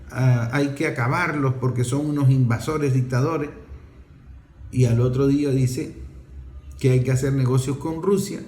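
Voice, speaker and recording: A man's voice: mid-range voice, a little mellow, a little hiss or hum. A man delivering an opinionated political monologue as a commentator, emphatic and scornful, often asking rhetorical questions. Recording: echoey room.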